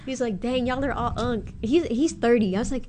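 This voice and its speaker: A woman's voice: fairly high pitch, a little fast, noisy background. A woman of nineteen chatting casually, laughing often and filling her talk with "like" and "bro". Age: young adult. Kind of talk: casual chat.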